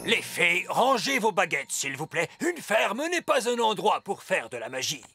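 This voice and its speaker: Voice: mid-range voice, very animated, moderate pace, gravelly, pushed and bright, breathy edge, no room sound, faint music behind, exaggerated voice. An actor voicing a bossy, pompous and easily flustered old male elf in a French-dubbed children's cartoon. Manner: pompous, emphatic, indignant.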